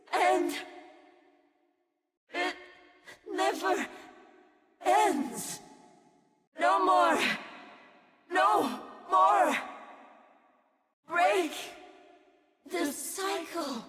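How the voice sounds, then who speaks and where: An actress voicing a monstrous, imperious and tormented nature goddess, a video game boss who declaims in drawn-out commands. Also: female voice, very high-pitched, lively intonation, a bit bright, shouting, heavily acted. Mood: pleading, anguished. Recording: long reverb with music behind. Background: music.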